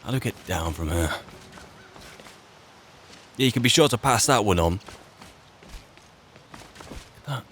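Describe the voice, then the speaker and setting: A man roleplaying a musing, wry adventurer in a fantasy game, speaking in a halting, dry, reflective way. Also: fairly low pitch, animated, a bit bright, slight background noise. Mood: musing, planning. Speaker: player narrating a roleplay. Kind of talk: in-character game roleplay monologue.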